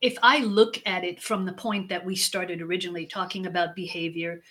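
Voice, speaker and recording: A woman guest expert giving measured interview answers, stacking her points in lists and letting sentence ends fall soft. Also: fairly low pitch, steady tone. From United States. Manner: deliberate, stacks phrases in lists, trails off softly at ends. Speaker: guest expert on leadership and communication.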